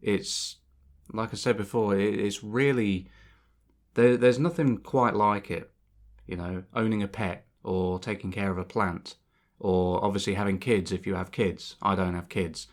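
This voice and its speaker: A young man with a deep, low voice, nasal and slightly sore from a cold. He rambles at an unhurried pace, thinking aloud with plenty of ums and restarts. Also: steady tone. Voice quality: slightly sore, bunged-up throat. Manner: unhurried, reflective, many fillers and restarts. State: congested from a cold. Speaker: channel host. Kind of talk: rambling casual monologue. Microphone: voice-over over gameplay footage.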